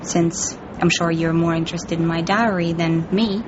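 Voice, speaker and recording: A woman's voice: lowish voice, fairly even intonation, moderate pace, natural voice. An actress playing a young Russian woman spy in a radio drama, tender but defiant and secretive, with a Russian accent. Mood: teasing. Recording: dull lo-fi recording, background noise.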